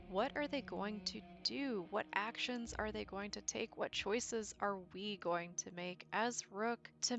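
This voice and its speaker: Woman narrating game lore over music. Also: mid-range voice. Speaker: video host. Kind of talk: scripted lore commentary for a video game. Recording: music behind the voice.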